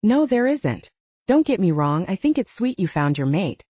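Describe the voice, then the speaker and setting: A woman's voice: mid-range voice, big pitch swings, moderate pace, dark tone, breathy edge, no echo, lo-fi sound. A woman voicing a cheeky, flirty male wizard who talks in a teasing, breezy way. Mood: teasing, wistful.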